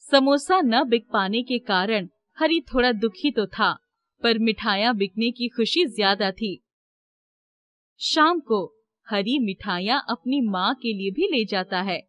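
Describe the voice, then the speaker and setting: A woman's voice: a little high, animated, slow, a bit bright. A woman reading scripted narration for a children's moral story.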